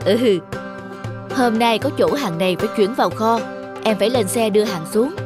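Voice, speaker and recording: A helpful, kindly cartoon porter , played by a woman. He talks in a friendly, plain-spoken way over clearly audible music. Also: on the high side, normal speed, lightly acted. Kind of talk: cartoon dubbing.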